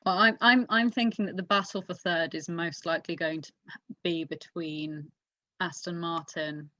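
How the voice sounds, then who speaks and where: A woman's voice: fairly low pitch, steady tone, normal speed, sharp tone. A woman chatting casually about motorsport as a podcast co-host, giving her opinions emphatically. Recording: echoey room, dull lo-fi mic.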